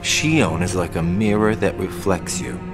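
A man's voice: deep voice, a little slow, over music. An actor voicing a guarded, troubled young man with a sardonic edge and weighty, clipped delivery in a video game. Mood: guarded, downcast.

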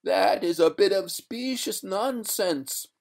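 A haughty drowned maiden's ghost , acted out by a man reading a storybook, pitched well up and gurgling. The words come slowly and drawn out, with formal indignation and a doomed air. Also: gravelly, a little mellow, heavily acted. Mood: haughty, indignant. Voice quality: gurgling, watery. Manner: slow, drawn-out, formal old-fashioned speech. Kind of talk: storybook character voice.

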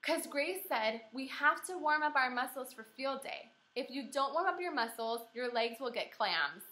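A woman's voice: high voice, animated, normal speed, sharp tone. A woman voicing an eager, boastful kindergarten girl who tells her own story in an emphatic, pushy way for a storybook read-aloud. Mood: earnest.

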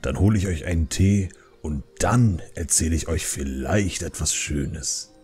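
Man playing a deep-voiced, jovial old panda brewmaster. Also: unhurried, darkish tone, soft background music. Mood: genial, apologetic. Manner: good-natured, polite, chuckling. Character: kindly, jovial old panda brewmaster, male, beloved storyteller uncle. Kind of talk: audiobook character acting.

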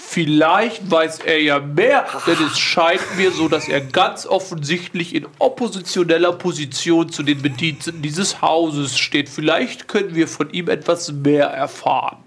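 A man playing a smug, condescending lord in an improvised audio drama, suave and deliberate. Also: a little high, a bit bright, a little hiss or hum. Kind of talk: improvised tabletop role-play audio drama.

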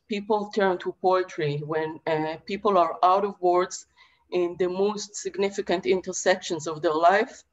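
A middle-aged woman from Israel speaking as a panelist poet on a video call from her home study, measured and thoughtful with many pauses and fillers. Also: on the low side. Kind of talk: reflective panel talk. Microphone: video call webcam. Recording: dull lo-fi video call audio.

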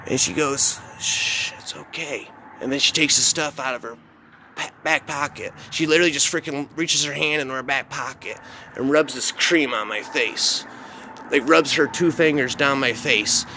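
A man's voice: medium pitch, normal speed, sharp tone, slight background noise. A man telling an informal first-person horror story in a rambling, repetitive, slangy, sweary way.

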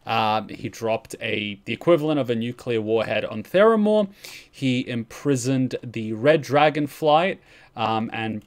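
A man's voice: medium pitch, expressive, measured pace. A man hosting a reaction stream, giving casual commentary from a room at home.

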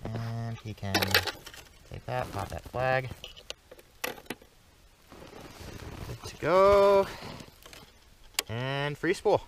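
A man's voice: mid-range voice, sing-song, extremely slow, long pauses, background noise. A young man chatting casually and playfully as an outdoor vlogger, recorded on a nearby action camera. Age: young adult. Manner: joking, playful. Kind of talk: casual vlog chat while ice fishing. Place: open frozen lake, later inside ice fishing tent. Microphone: action camera at arm's length or set nearby.

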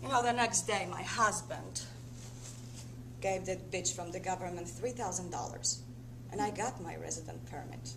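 An actress playing a distressed, bitter wife and mother in a halting, dramatic one-woman stage monologue. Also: fairly low pitch, creaky, reverberant. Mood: halting, tense. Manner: halting, repetitive, emotionally dramatic. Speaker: stage actor. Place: indoor theatre stage, rehearsal. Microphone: camera a few metres away. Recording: noisy theatre camera recording with hum.

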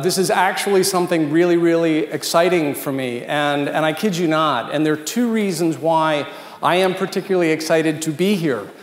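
An American man in his fifties giving an informal, joking keynote talk in a conversational way with emphatic asides. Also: on the high side. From Washington, D.C., United States. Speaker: keynote speaker, consultant. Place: large event hall with dinner tables, indoors. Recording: echoey hall with long reverb.

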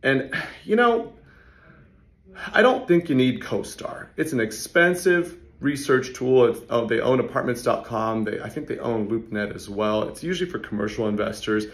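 A man in his thirties talks straight to his phone camera in an office hallway, his voice hoarse and worn from yelling. The tone is gravelly with plenty of fry, and he moves quickly through casual, salesy explanations. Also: medium pitch, lively intonation. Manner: fast, conversational, salesy asides. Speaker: real estate investor and channel host. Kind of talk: casual talking-head explainer.

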